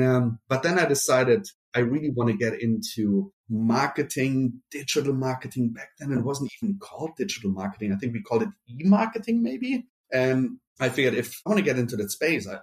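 A man's voice: medium pitch, darkish tone. A middle-aged man with a German accent, born in Brazil, speaking casually as an interview guest with many restarts. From Brazil, raised in Germany. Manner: rambling, many restarts and fillers. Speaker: marketing executive guest.

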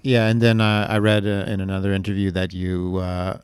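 A man's voice: deep voice, completely flat delivery, fairly quick, clean tone, dry recording. A man co-hosting a podcast in casual chat, rambling and hesitant with fillers. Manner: rambling, hesitant, uh between phrases. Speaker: podcast co-host.

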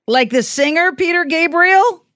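A woman hosting a call-in radio show, chatting gently and playfully with a caller. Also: very high voice, lively intonation, measured pace, no room sound. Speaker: radio host. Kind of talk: late-night radio call-in chat. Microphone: studio mic.

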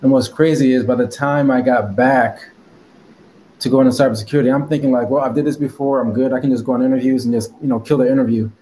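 A man's voice: mid-range voice, steady tone, fast talker, warm tone, a little hiss or hum, rough recording. A man from Connecticut, a guest in an interview, talking casually with lots of fillers. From Connecticut, USA. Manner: rambling with many fillers and laughs.

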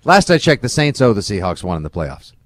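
A man's voice: fairly low pitch, sing-song, brisk, no room sound, studio quality. A man co-hosting a sports podcast with casual, punchy, slangy football banter.

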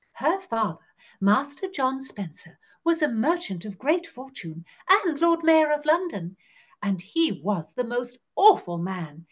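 Woman playing a gossipy, theatrical Tudor gentlewoman, slightly muffled. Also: high-pitched, exaggerated voice. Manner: archaic, declamatory, emphatic storytelling. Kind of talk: scripted character monologue for an educational show.

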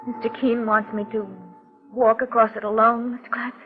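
A woman's voice: on the high side, little pitch movement, fairly quick, music in the background, lightly acted. An actress playing a brave but anxious young woman in danger in a 1940s radio melodrama, speaking earnestly, politely and with some hesitation. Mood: nervous, brave. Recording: tinny vintage 1940s radio recording.

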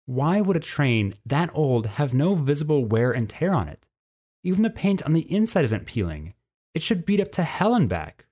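A man's voice: a little high, very animated, normal speed, a little mellow, pitched above the performer's own voice. A man voicing a nervous, sarcastic teenage male bird in a story reading, with a fretful manner. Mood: uneasy. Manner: indignant, fretful.

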